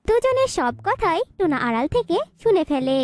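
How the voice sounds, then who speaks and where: A woman's voice: extremely high pitch, sing-song, normal speed, a little mellow. A woman narrating a scripted children's cartoon story.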